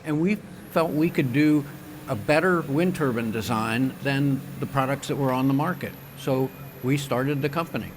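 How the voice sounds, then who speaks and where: An older man, a company president, answering interview questions on a conference stage in a measured, engineer-like way with frequent ums and restarts. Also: medium pitch, background noise. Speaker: wind turbine company president.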